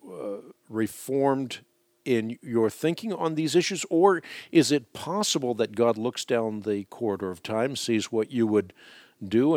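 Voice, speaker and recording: A man who speaks Dutch as his first language, hosting a call-in radio show and answering listeners' questions in a measured, deliberate way with frequent hesitations. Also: lowish voice, a little mellow, no room sound, studio quality. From Netherlands.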